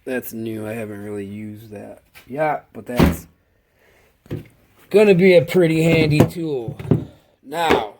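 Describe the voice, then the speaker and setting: A man with a stuffed-up cold, casually showing off his work tools in a slow, halting, enthusiastic way. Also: mid-range voice, heavy background noise. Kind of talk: casual tool walkthrough.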